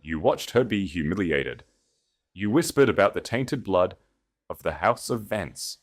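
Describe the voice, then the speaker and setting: A man's voice: sits low, animated, unhurried, exaggerated voice. Man voicing a commanding, solemn grand duke. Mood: stern accusation. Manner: declamatory, solemn, regal delivery. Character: commanding male sovereign grand duke, proud, grave, remorseful. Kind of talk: dramatic romance audiobook dialogue.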